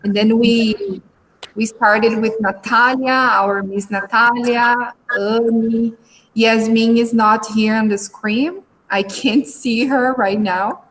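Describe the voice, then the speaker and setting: Slow, hesitant woman hosting a school stream. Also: fairly high pitch, slight background noise, poor audio. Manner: hesitant, searching for words, many fillers. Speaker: language coordinator and host.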